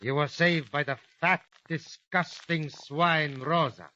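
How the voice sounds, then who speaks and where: Cruel scheming smuggler boss, sneering, bright edge to the voice, hissy old radio. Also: male voice, fairly high pitch, steady tone, slow pace, lo-fi sound. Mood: menacing. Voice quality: sneering, contemptuous. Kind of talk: 1950s radio drama acting.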